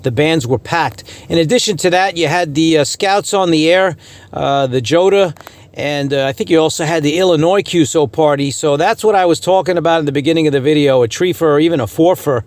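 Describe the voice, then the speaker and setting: A man's voice: fairly high pitch, a little fast. A man chatting casually to viewers as an amateur radio vlogger. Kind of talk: casual chat to camera.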